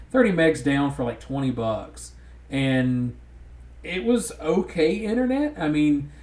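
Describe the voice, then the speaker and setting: Southern man, podcast co-host, rambling casual delivery, echoey room. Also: mid-range voice. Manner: rambling, many restarts. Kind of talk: casual chat. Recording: roomy echo.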